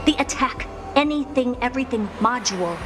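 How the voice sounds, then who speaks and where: An actress playing a haughty, brilliant scientist in a video game, with a smug, theatrical, playfully condescending manner. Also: female voice, on the high side, animated, fairly quick, over music, lightly acted. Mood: puzzled. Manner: smug, theatrical, self-assured.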